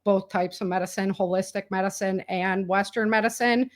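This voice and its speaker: An American woman chatting casually as an interview guest, telling stories in an animated way and acting out bits of dialogue. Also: medium pitch, moderate pace, a little hiss or hum. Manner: animated storytelling, quoting imagined dialogue. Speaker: guest, author.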